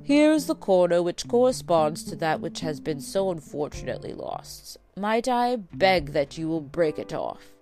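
A shrewd, composed gentleman detective from a classic storybook, voiced by a woman. The delivery is formal, measured and assured, with clear music playing behind. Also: on the low side, sing-song. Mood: probing. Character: confident male detective, brisk, shrewd and composed.

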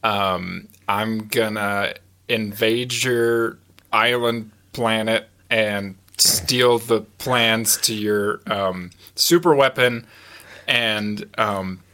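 Male podcast host, bright voice, a little sniffly, chatty and loose. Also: on the low side, fairly even intonation, very slow. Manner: laughs often, rambling with fillers. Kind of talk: casual podcast chat. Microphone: headset mic.

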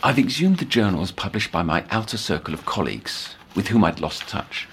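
An actor playing an obsessive, scholarly therapist, speaking formally and articulately in a brooding audio drama. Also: male voice, sits low, very animated, fairly quick, background noise. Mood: brooding, determined. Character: obsessive, scholarly male therapist-researcher keeping session notes. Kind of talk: dramatic monologue, audio journal.

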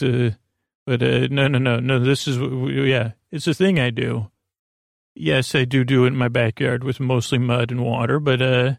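A man with a creaky voice hosting a bedtime podcast, talking in a soothing, meandering, halting monologue with goofy asides. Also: medium pitch, no room sound, studio quality. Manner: soothing, lulling delivery. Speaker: podcast host. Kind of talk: rambling bedtime monologue to help listeners sleep.

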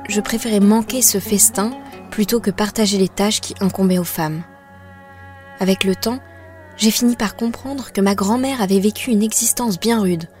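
Woman, literary reading, measured and expressive, faint music underneath, medium pitch. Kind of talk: literary reading of a novel excerpt. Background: soft music.

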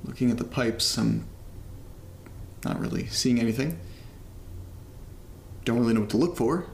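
Casting: male actor as uneasy young guy in found-footage horror audio drama, creaky mid-pitched voice, muttering and self-deprecating. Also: moderate pace, a little hiss or hum, natural voice. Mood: uneasy, curious. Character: uneasy young man recording strange noises in his new house.

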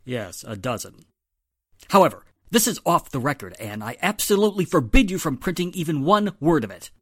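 A man's voice: on the high side, sing-song, brisk, no echo, studio quality. A man playing an exasperated, firm police inspector, emphatic and stern, in 1940s radio drama style.

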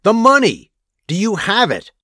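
Man playing an aggressive high-pitched thug kidnapper. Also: wildly swooping pitch, measured pace, sharp tone, no echo, studio quality, full character voice. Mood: menacing, demanding. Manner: aggressive, barking. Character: big tattooed thug kidnapper, menacing and impatient. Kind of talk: audio drama acting.

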